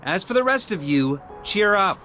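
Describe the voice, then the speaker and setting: An actor playing an older man in a video game, a paternal leader addressing children in measured, formal speeches. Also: sits high, animated, pushed and bright, a little hiss or hum. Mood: solemn, announcing.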